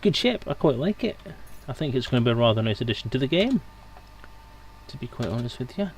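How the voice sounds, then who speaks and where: A man's voice: mid-range voice, very animated, fast, a little hiss or hum. A man hosting a live stream in casual, unscripted, rambling chat.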